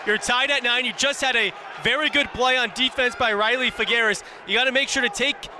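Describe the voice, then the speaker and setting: Noisy outdoor broadcast, young man, very high male voice, live radio color commentator, conversational, emphatic analysis. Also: fairly even intonation, a little fast, bright, forceful tone. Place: outdoor lacrosse stadium.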